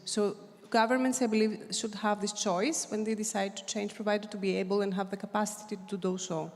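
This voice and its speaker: A woman panelist delivers prepared points at a policy conference, often pausing with fillers and restarting phrases. Her voice sits at an ordinary pitch and carries a long reverb from the hall. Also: fairly even intonation, darkish tone. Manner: hesitant, many fillers and restarts.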